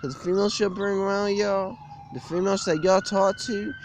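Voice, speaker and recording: Young male vlogger, higher voice with lively swings, blunt aggrieved rant, shot outdoors. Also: a little fast, background noise. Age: young adult. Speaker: gym vlogger. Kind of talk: informal opinionated rant to camera. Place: outdoors beside a brick building on a street. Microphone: phone or webcam at arm's length.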